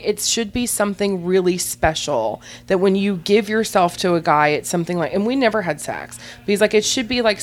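A woman guest on a podcast, telling blunt, unembarrassed stories in a rambling way full of "like". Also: on the low side. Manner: rambling, heavy use of "like". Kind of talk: candid casual storytelling.

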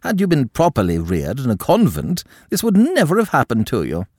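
Male reader doing prudish aristocratic matron, high-pitched, indignant, wildly swooping delivery. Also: wildly swooping pitch, a little fast, darkish tone, slightly rough, no echo, studio quality. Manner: shocked, scolding, high-pitched. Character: haughty prudish aristocratic older countess.

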